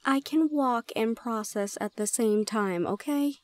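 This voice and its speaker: A woman playing a shy, easily flustered young gorgon student in an audio roleplay, speaking in halting, exclamatory questions. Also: a little high, a little mellow, no room sound, natural voice. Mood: flustered, questioning. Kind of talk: audio roleplay drama acting.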